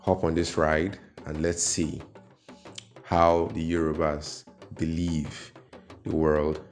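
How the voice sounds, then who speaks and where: Deep, slow man in an echoey room. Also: little pitch movement, darkish tone. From Nigeria. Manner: measured, pausing often with fillers. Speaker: YouTube host. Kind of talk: explaining folklore to viewers. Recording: echoey room with faint music.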